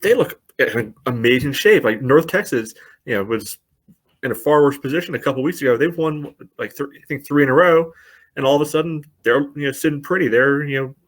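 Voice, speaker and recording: A man's voice: mid-range voice, fairly quick. A man co-hosting a casual soccer podcast, talking at length with many "you know" fillers. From United States. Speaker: podcast co-host. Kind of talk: casual sports chat.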